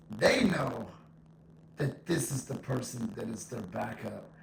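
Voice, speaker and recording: Guy with really gravelly voice and echo effect, blunt opinion rant, repeats himself, faint noise behind. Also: medium pitch. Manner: blunt, emphatic, repeats points. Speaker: solo podcast host. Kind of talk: informal opinion monologue.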